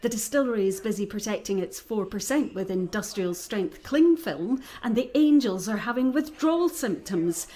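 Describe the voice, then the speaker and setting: A woman acting in an audio drama as a troubled, sensitive island woman, earnest and expressive, with a Scottish accent. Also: mid-range voice, normal speed, few pauses, lightly acted. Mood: urgent, incredulous.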